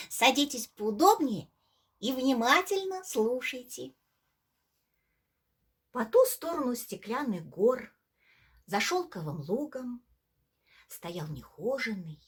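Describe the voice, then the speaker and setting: A woman narrating a bedtime folk tale for children, theatrically, with hushed drops at the ends of phrases. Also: a little high, breathy edge. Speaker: storyteller, theatre actress. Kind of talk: expressive scripted bedtime story narration for children.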